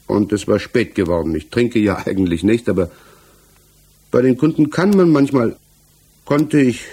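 An actor in a 1960s German radio play, playing an accused married village mechanic who answers earnestly, evasively and haltingly. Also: male voice, mid-range voice, dark tone, husky. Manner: hesitant, halting, defensive.

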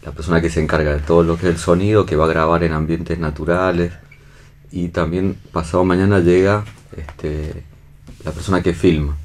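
A man's voice: low-pitched, flat delivery, a little fast. A man with an Argentine accent, a director explaining his work in casual interview talk, often checking for agreement. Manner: seeks agreement with tag questions. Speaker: theatre and film director being interviewed.

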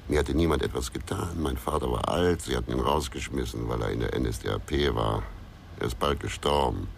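Gruff investigator, extremely low gravelly voice, brooding and terse, radio crime drama with some background noise. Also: male voice, a little fast. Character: gruff, brooding male investigator, haunted by a lonely childhood.

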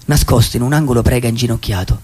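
A male actor playing a melancholy wandering drifter who tells his life in a poetic, theatrical radio monologue with a husky, gravelly voice. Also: medium pitch, steady tone, a little mellow, lightly acted. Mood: storytelling. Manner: theatrical, lyrical, with pauses for effect.

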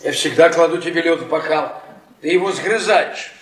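Henpecked middle-aged husband played by an actor, exasperated and fussy, very high male voice, radio theatre, echoey stage. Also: moderate pace, noisy background, lightly acted. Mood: fussily defensive. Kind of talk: Russian theatre radio play, naturalistic dialogue. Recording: echoey stage from far, audience behind.

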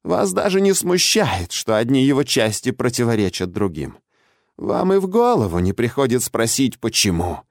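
A man voicing a defiant old imprisoned witch in an audiobook, hoarse and croaking, with a caustic, mocking, argumentative way of speaking. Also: mid-range voice, sing-song, moderate pace, no echo, studio quality. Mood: scornful, mocking. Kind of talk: audiobook character acting.

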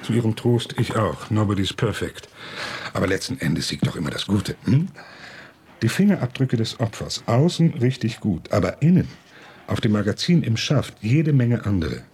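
An actor playing a cocky, wisecracking police assistant in a radio crime drama. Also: male voice, lowish voice, sing-song, normal speed, a little mellow, breathy edge, heavily acted. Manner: wisecracking, cheeky, sarcastic. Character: cocky young male detective assistant, Philipp.

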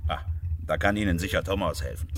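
An actor playing a sociable, talkative small-town hunter in a radio play, with a folksy manner. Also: male voice, low-pitched, fast, slight background noise. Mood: helpful. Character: chatty, sociable small-town hunting club man.

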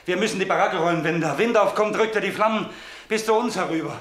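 Alarmed, high-pitched camp man. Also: fast, a bit bright, reverberant. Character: alarmed man reporting a fire at a drilling camp. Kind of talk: German radio drama acting.

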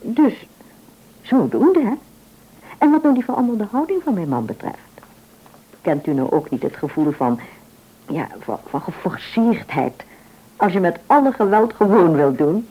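An actress playing a tactful, self-assured shop owner's wife who probes politely and deliberately in a radio play. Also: female voice, medium pitch, very animated, husky, dull audio. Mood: reassuring, tactful. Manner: polite, deliberate, gently probing. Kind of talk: naturalistic radio play acting.

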